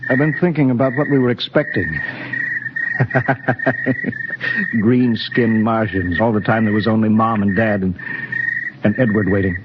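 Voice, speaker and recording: A man's voice: lowish voice, steady tone, unhurried, pauses often, very noisy, close to an everyday voice. Man acting earnest rocket captain, muffled old radio drama. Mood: disbelieving, uneasy. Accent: American. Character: earnest rocket captain, disbelieving, then desperate. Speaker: actor playing a spaceship captain. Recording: muffled 1950s radio recording, loud music.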